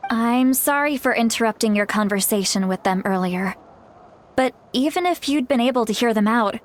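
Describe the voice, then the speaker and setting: A woman's voice: mid-range voice, a little hiss or hum, close to an everyday voice. A woman voicing a hot-tempered but caring old granny in a video game, scolding in an exasperated, emphatic way. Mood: calmer, weary. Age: older.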